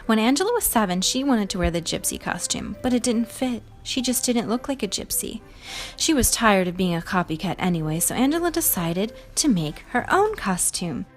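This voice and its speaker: A woman's voice: medium pitch, big pitch swings, normal speed, faint music behind. A woman hosting a read-aloud, telling a storybook to young children with emphatic expression. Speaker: storybook reader and show host.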